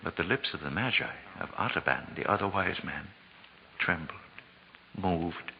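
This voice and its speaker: A man narrating a 1940s radio drama in a solemn, theatrical, measured way with dramatic pauses. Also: sits low, lively intonation, a little hiss or hum. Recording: muffled 1940s radio recording. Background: music.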